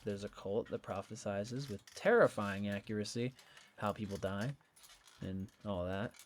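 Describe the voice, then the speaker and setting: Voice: sits low, unhurried, slight background noise. An actor in an audio drama playing a casual, curious young friend who talks in a questioning, sometimes hesitant way. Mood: dry, casual. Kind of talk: naturalistic audio fiction dialogue.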